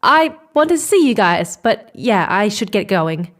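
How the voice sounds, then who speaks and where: A woman's voice: mid-range voice, sing-song, measured pace. An actress playing a guarded, grieving mother on a space mission in a sci-fi audio drama, polite and hesitant in her delivery.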